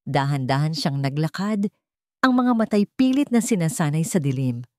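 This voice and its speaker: Low-pitched Filipino woman narrating a drama. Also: big pitch swings, normal speed, a little mellow, no room sound. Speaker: story narrator. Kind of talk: dramatic scripted storytelling narration.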